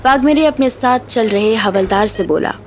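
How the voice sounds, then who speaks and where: Slightly high-pitched woman narrating a drama. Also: moderate pace. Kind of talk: scripted audio drama narration. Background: background music.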